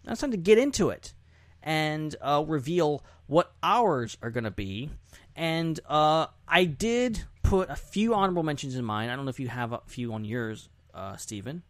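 Man, podcast host, animated intonation, dry close sound, informal chat, emphatic repetition. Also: fairly high pitch, normal speed, dry recording. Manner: informal, repeats short phrases for emphasis.

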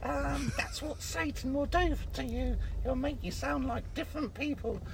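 A man's voice: very high-pitched, moderate pace, vocal fry, noisy background. A man putting on a camp, dotty old celebrity with a wandering Birmingham accent, rambling theatrically through a comic voicemail. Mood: camp, embarrassed. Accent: Brummy (Birmingham), wandering. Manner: theatrical, rambling, mock-scandalised.

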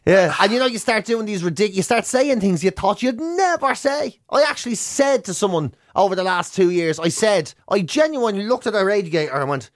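A man's voice: high voice, expressive, brisk, hardly any pauses, dry recording, studio quality. A middle-aged Irish man co-hosting a radio show, bantering casually and acting out imagined exchanges in a self-deprecating way.

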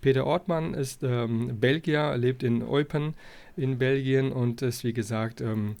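A man hosting a casual podcast, talking in a halting, wordy way and circling around his point. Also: mid-range voice, gravelly, darkish tone. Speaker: podcast host. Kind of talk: rambling, casual podcast introduction and interview.

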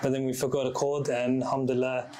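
A man's voice: mid-range voice, level tone, fast talker, dark tone. A man hosting a podcast in a casual, conversational way. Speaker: podcast host. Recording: clear background noise and ambience.

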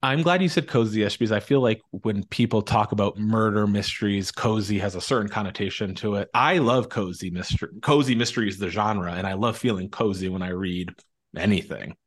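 A man's voice: fairly low pitch, fairly quick. A man hosting a podcast, chatting in an informal, rambling interview style. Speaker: book podcast host. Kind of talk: casual interview chat.

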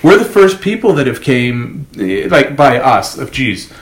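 A man's voice: medium pitch, big pitch swings, measured pace, a little hiss or hum. A man hosting a podcast, giving opinions casually in an emphatic, sardonic way. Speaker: podcast host. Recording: roomy, echoing podcast recording.

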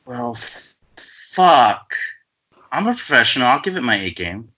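A man's voice: mid-range voice, big pitch swings, measured pace, bright, forceful tone, heavily acted. A man reading the part of a hesitant, awkward film actor in an amateur table read over an internet call. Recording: muffled, noisy internet call audio.

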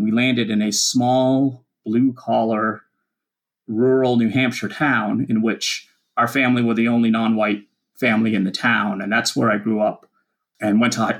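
A man in his fifties, originally from Kenya, telling his life story as an interview guest in a measured, reflective way. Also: on the low side.

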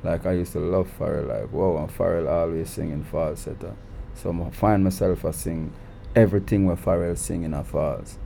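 A man from Jamaica with a Jamaican accent and a naturally deep voice, giving relaxed, easygoing interview answers in a recording studio. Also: normal speed, creaky, a little hiss or hum. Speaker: guest artist.

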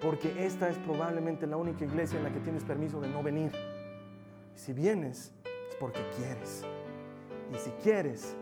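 Man preaching a sermon over soft music. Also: moderate pace. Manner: repetitive, swings from loud emphatic peaks to soft low passages. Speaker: pastor. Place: church. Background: music playing under the sermon.

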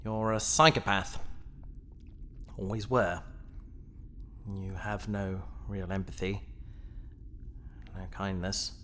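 An actor playing a disturbed, self-loathing man in a sci-fi audio drama, with a bitter, halting, desperate manner. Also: sits low, steady tone, sharp tone, a little hiss or hum, exaggerated voice. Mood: bitter despair. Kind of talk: science fiction audio drama acting.

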